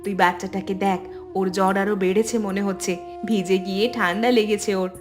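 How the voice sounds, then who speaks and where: A woman's voice: medium pitch, flat delivery, fairly quick, faint music behind, close to an everyday voice. Firm, protective elder sister-in-law, acted by a woman. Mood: gentle, regretful. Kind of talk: melodramatic cartoon voice acting.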